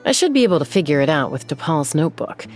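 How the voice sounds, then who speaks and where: A woman's voice: low voice, very animated, quick pace. An actress playing a self-assured young gunslinger woman in a fantasy video game, with a cool, composed, dry delivery. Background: game music.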